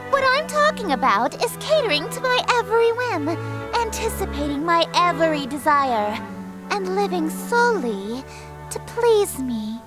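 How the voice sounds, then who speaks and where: A woman's voice: very high-pitched, sing-song, measured pace, exaggerated voice. A woman playing a haughty, theatrical eldritch goddess of chaos in a visual novel game, grandiose, imperious and melodramatic. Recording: music behind the voice.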